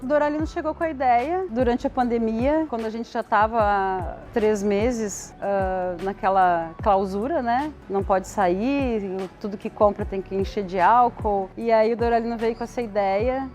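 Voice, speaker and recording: A woman's voice: a little high, unhurried, hardly any pauses, a little mellow. A middle-aged woman with a southern Brazilian gaúcho accent, chatting informally in a documentary interview. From Rio Grande do Sul, southern Brazil. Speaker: interviewee, schoolteacher. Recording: faint music behind the voice.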